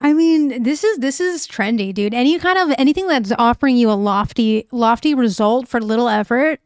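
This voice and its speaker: A woman's voice: fairly high pitch, normal speed, clear, resonant tone, no echo, clean studio sound. A woman hosting a livestream in casual chat, loose and profane, laughing often. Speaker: livestream host.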